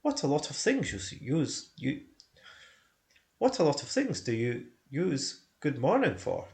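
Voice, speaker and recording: A man voicing an old male wizard, wise and gruff, speaking deliberately. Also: a little high, sing-song, a little mellow, heavily acted. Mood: wry.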